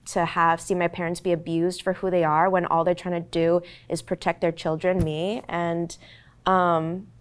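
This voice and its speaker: A woman's voice: fairly low pitch, flat delivery, moderate pace, smooth. A young woman actor in her twenties, whose first language was Korean, talking earnestly and reflectively on a panel with many "like"s and "um"s. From United States. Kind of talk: candid panel talk.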